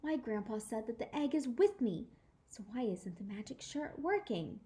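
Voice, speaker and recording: A woman voicing an eager, earnest little boy in a storybook, excitable and easily frustrated. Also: a little high, normal speed. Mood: puzzled.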